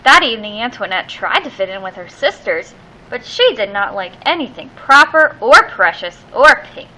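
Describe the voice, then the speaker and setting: A woman reading a children's picture book aloud expressively, with theatrical, drawn-out emphasis on key words. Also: fairly high pitch, big pitch swings, pushed and bright, slight background noise, low-quality recording.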